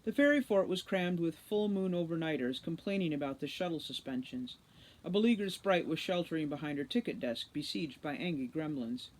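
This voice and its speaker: Female storybook reader, lowish voice, expressive read-aloud narration. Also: fairly quick, low-quality recording. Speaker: audiobook reader, teacher.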